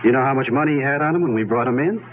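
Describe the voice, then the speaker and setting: An actor playing a blunt, sceptical district attorney, brisk and pressing with a sardonic edge, in 1970s radio drama style. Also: male voice, medium pitch, narrow, tinny audio, close to an everyday voice. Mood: sceptical, warning. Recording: noisy, thin 1970s radio recording.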